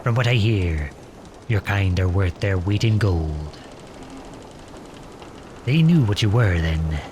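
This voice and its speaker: Low-voiced, commanding pirate captain, acted by a man. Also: lively intonation, slow pace, noisy background. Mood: awed, musing. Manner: salty pirate slang, commanding. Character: gruff but caring male pirate captain. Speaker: voice actor in roleplay audio. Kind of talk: ASMR roleplay audio drama.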